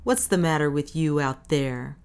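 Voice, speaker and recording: A woman voicing a gruff, drunken man who is defiant and sardonic, with brash slangy talk, in an audiobook. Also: deep voice, unhurried, a little mellow. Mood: drunken bravado. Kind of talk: dramatic audiobook character reading.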